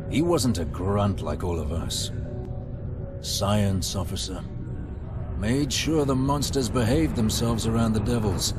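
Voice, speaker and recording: A low male voice playing a wary infantry veteran in a video game. He talks in clipped, deliberate sentences, testing whoever he addresses. Music plays clearly behind him. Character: wary, shrewd veteran infantry officer of a disbanded marine unit. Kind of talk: dramatic game dialogue.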